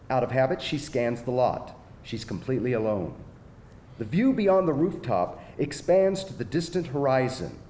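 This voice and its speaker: Animated male narrator in an echoey room. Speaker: narrator reading screenplay directions. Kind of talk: scripted narration, live table read. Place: echoing indoor venue at live reading. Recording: echoey live room, faint rumbling noise.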